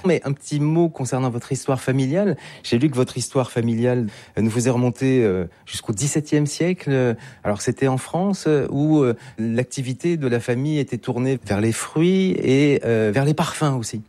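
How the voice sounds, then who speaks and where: Mellow-voiced male radio host. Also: mid-range voice, moderate pace. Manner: conversational, with frequent fillers as he frames questions. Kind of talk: radio interview.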